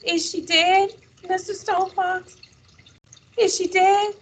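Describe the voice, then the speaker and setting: A woman's voice: very high voice, level tone, takes it slowly, pitched above the performer's own voice, poor audio. A woman reading a storybook aloud, voicing an earnest, tender-hearted young boy with a pleading, exclaiming delivery. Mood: anguished pleading. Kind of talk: storybook read-aloud character voice.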